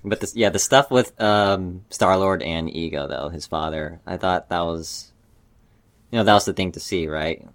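Low-voiced man in casual chat. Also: normal speed, dry recording. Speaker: podcast panelist. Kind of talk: casual film discussion.

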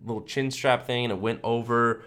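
A man's voice: mid-range voice, steady tone, fairly quick, fairly bright tone, slight background noise. A young American man co-hosting a podcast, reminiscing in a casual, enthusiastic and slangy way. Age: young adult. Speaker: podcast co-host.

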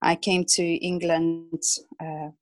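Softly hesitant woman from Hungary. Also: fairly low pitch, little pitch movement, slow, no room sound. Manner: hesitant, many fillers, soft laughs. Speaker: illustrator guest. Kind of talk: casual interview chat.

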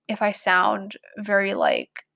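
A woman's voice: medium pitch, huge pitch swings, takes it slowly, gravelly, pushed and bright. A young woman in her twenties hosting a casual podcast, chatty with lots of fillers and restarts, her voice stuffy and congested. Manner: chatty, heavy use of "like", many restarts. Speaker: podcast host.

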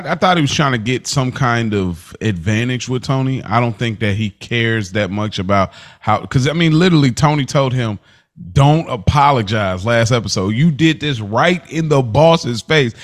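A man hosting a podcast, chatting casually about a TV episode in a thoughtful, roundabout way. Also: mid-range voice. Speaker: podcast host. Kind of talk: casual TV recap chat.

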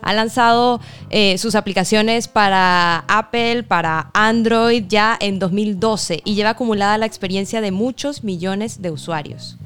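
A woman's voice: mid-range voice, unhurried, few pauses, a bit bright, smooth, faint music behind. A woman presenting a podcast in a conversational way as its host.

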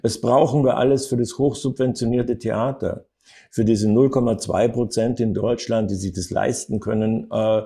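An older man telling anecdotes as an interview guest, in an animated storytelling manner with frequent little tag words. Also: mid-range voice, normal speed, creaky. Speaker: acting coach and interview guest.

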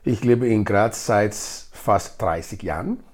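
A man's voice: on the low side, takes it slowly, a little mellow. A middle-aged man from Nigeria, a native speaker of Edo, answering interview questions in an explanatory way, repeating words for emphasis. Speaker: interview guest, integration project leader.

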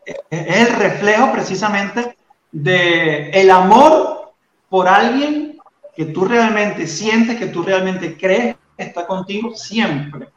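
A man's voice: high-pitched, animated, a little hiss or hum. Earnest Venezuelan man, echoey dull sound. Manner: earnest, halting, many fillers and restarts. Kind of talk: casual faith testimony chat.

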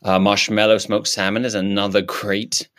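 A man's voice: on the low side, level tone, moderate pace, a bit bright, lightly acted. A man playing a shy, stammering, evasive politician. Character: shy, opinionless male politician. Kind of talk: improvised comedy character.

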